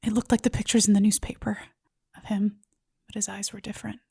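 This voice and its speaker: A woman acting a frightened young niece in a ghost story read, hushed and questioning, with a breathy, husky voice. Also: medium pitch, little pitch movement, brisk. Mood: shaken, questioning. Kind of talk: screenplay table read acting.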